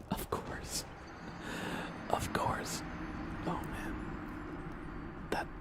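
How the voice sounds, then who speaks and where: A man whispers his way through live video game commentary in an ASMR style. His delivery stays hushed and soothing, with casual, unscripted phrasing throughout. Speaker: game livestreamer.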